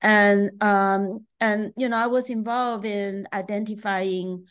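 A middle-aged woman from Taiwan speaks at an unhurried, deliberate pace, pausing often with small ums as she thinks. Her voice sits at a moderate pitch. She talks over a video call from a room at home. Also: fairly even intonation, smooth. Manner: measured, thoughtful with frequent ums. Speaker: neuroscientist guest. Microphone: video call webcam.

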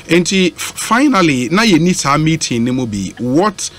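Higher-pitched Ghanaian male radio host. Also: very animated, normal speed, a bit bright. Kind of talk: live radio interview. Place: small radio studio. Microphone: studio microphone with headset.

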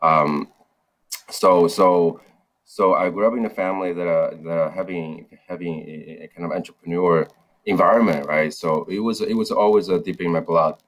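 Low-voiced guy on a video call, lots of uh and restarts. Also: fairly even intonation, moderate pace, poor audio. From China. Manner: hesitant, many fillers and restarts. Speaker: startup founder, guest. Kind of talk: interview over video call. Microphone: video call, webcam.

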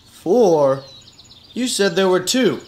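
An actor voicing a skeptical, hard-boiled private eye with dry, deadpan delivery in a noir detective radio play. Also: male voice, high-pitched, slow. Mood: dry, probing. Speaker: detective and narrator.

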